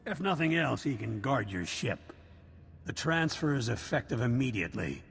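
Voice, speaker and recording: A man voicing an authoritative, brisk military commander in a video game, decisive and formal. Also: mid-range voice, big pitch swings, vocal fry. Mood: commanding. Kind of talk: video game cutscene voice acting. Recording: echoey hall with faint ambient noise.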